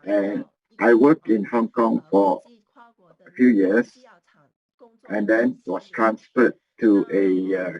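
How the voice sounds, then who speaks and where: A man giving a motivational business talk, earnest and halting, often repeating and restarting his phrases. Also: lowish voice, fairly even intonation. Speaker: business presenter. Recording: muffled lo-fi recording.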